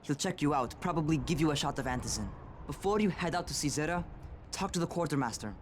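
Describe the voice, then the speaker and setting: Actor as brash young survivor, higher-pitched man. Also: expressive, quick pace, a little hiss or hum, close to an everyday voice. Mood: casual, advising. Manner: foul-mouthed, informal. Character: brash young male survivor, parkour trainer. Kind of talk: video game voice acting.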